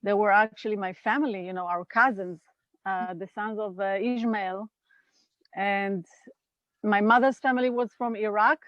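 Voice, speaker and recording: A woman in her fifties with an Israeli accent talks slowly and reflectively, pausing to gather her thoughts. Her voice sits at an average pitch and comes through dry with no room sound. The video call audio is slightly muffled. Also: fairly even intonation, no room sound. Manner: thoughtful, unhurried, with pauses and fillers. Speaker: guest, NVC trainer. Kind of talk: reflective interview talk. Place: home in California.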